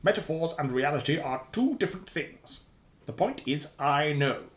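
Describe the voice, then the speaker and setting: A man's voice: a little high, expressive, moderate pace, close to an everyday voice. An actor playing a sardonic, menacing spy boss in a radio play, pompous, wordy and precise in his sarcasm. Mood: stern, scornful. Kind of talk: radio drama acting. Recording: roomy, echoing sound.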